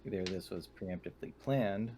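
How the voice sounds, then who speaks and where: A man's voice: low-pitched, fairly quick, warm tone, slight background noise. A man casually discussing events as a player in a tabletop role-playing game.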